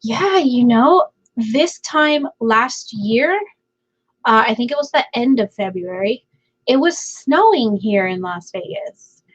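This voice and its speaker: A woman's voice: fairly high pitch, lively intonation, slow, husky. Chatty woman streaming from home, voice scratchy and half gone, a bit lo-fi. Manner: chatty, laughs often, many fillers. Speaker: livestream host. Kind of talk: casual chat reading viewer comments.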